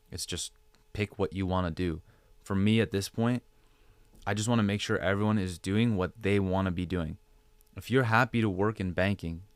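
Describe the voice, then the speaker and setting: A man's voice: deep voice, clear, resonant tone, no echo. A man speaking as a podcast guest, giving conversational advice with rhetorical questions and repeated phrases for emphasis. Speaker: podcast guest, digital creator.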